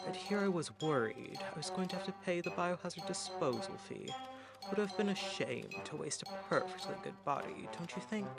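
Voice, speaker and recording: Radio play rogue voiced by an actor: flippant, sardonic, lively swooping delivery with plenty of fry. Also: mid-range voice, a little fast, over loud music. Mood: wry relief. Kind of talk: radio play character acting.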